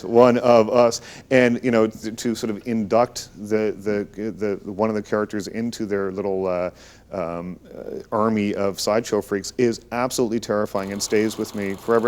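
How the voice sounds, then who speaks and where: Lowish voiced guy hosting a movie show, casual, kinda halting as he thinks out loud. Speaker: TV talk show host. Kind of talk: casual film chat.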